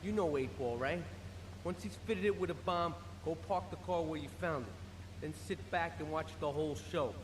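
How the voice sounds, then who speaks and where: Actor as cocky young mobster, heavy reverb. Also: male voice, fairly high pitch, moderate pace, close to an everyday voice. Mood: cocky, businesslike. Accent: American. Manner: casual, cocky, slangy. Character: cocky young American mobster, a crime boss's son. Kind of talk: video game cutscene acting. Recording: long hall reverb, faint background noise.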